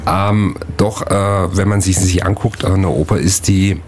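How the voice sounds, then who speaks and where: Male radio interviewer, very low register, jovial and rambling, slight background noise. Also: fairly even intonation, unhurried, darkish tone. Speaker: radio show host.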